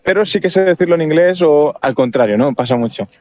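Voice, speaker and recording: A man, co-hosting a podcast and thinking aloud in a reflective, unhurried way. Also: fairly high pitch, animated, a little mellow. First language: Spanish. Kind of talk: casual chat. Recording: dull, muffled sound.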